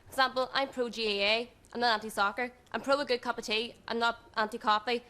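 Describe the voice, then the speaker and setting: A woman's voice: a little high, fairly even intonation, quick pace, sharp tone. A woman making an earnest point as an audience member. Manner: earnest, emphatic. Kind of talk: audience comment.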